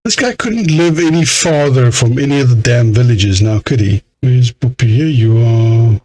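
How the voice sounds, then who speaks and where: A man's voice: medium pitch, expressive, normal speed, a little mellow, poor audio. A man giving casual let's play commentary in a grumbling, exasperated, mildly sweary way. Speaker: gaming video host.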